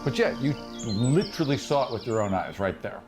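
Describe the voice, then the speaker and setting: A man working as game master, narrating an improvised fantasy scene in an animated way with vocal sound effects and repeated words. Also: medium pitch, a little fast, music in the background. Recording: background noise behind the voice.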